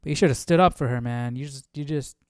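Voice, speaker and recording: A man's voice: mid-range voice, fast talker, no room sound, clean studio sound. A man hosting and reacting casually to a reading, with exclamatory, conversational asides. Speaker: host.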